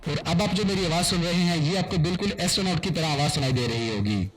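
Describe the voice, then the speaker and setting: An astronaut's radio-filtered voice, produced by a man with a voice changer. It is fairly high for a male voice, with clear music behind the software demonstration. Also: fast talker, poor audio. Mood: demonstrative. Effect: radio-style astronaut voice filter.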